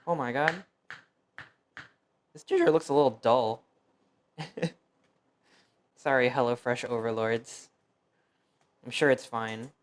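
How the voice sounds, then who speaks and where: Brief: young male streamer, mid-pitched, rambling and self-deprecating, first language Fuzhounese. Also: slow, pauses often. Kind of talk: casual cooking stream chat.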